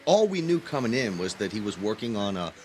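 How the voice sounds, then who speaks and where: An actor playing an earnest, cautious young male graduate student in an audio drama, speaking in a slightly halting way. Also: fairly low pitch, big pitch swings, a little mellow, slight background noise. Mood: friendly, hesitant. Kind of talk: naturalistic audio drama acting. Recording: clear background ambience.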